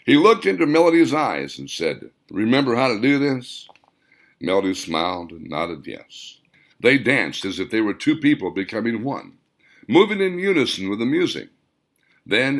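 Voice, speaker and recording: A man reading his own novel as an audiobook, with dramatic, expressive storytelling. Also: lowish voice. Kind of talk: scripted audiobook narration.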